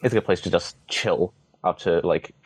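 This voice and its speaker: Young man, Cantonese native from Hong Kong, deep low voice, halting and wry, dry recording. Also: slightly rough, no echo, poor audio. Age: young adult. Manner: hesitant, many restarts and fillers, wry humor. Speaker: interviewee, student. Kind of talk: casual interview answers.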